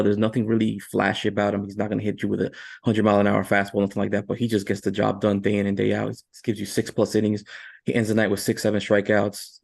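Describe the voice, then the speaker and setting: A man's voice: fairly low pitch, vocal fry, darkish tone, no echo. A man on a sports talk stream, giving casual analysis as a panelist.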